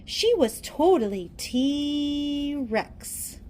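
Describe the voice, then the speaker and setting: A woman reading a children's storybook aloud in a theatrical, deliberate storytelling manner. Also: sits high, animated, a little mellow, a little hiss or hum. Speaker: storybook reader. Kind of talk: children's read-aloud narration.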